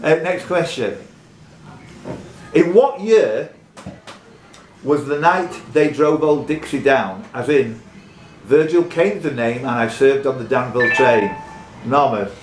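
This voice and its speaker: A man's voice: mid-range voice, takes it slowly, long pauses. A man hosting a live pub quiz, reading out questions and trading jokey, teasing banter with the contestants. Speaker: quiz host and question reader. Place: echoey pub room. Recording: echoey room with audience noise. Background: crowd of quiz players.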